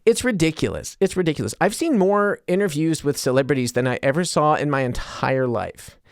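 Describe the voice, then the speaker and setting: A man's voice: fairly high pitch, expressive, a little fast, vocal fry, dry recording. Casual, rambling male podcaster, close dry sound. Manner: rambling, conversational, self-deprecating. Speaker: podcast host and stand-up comedian. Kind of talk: casual solo podcast monologue.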